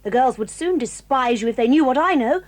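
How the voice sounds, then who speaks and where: A woman's voice: high-pitched, lively intonation, fairly quick. A woman playing a snobbish, sly schoolgirl with a prim, haughty manner in a children's audio drama. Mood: spiteful accusing.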